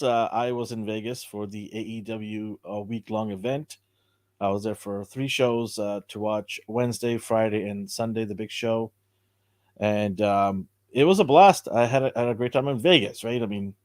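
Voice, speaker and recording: A man hosting a casual live podcast, upbeat and chatty, his voice a bit hoarse from cheering. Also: fairly low pitch, normal speed, no room sound, clean studio sound. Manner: upbeat, chatty, thanks viewers. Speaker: podcast host. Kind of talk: casual live-stream chat.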